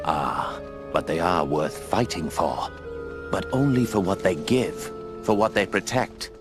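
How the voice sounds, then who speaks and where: A wise, commanding owl mentor. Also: male voice, low voice. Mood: solemn. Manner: commanding, measured. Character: wise older male owl mentor, steady. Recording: game audio with music behind.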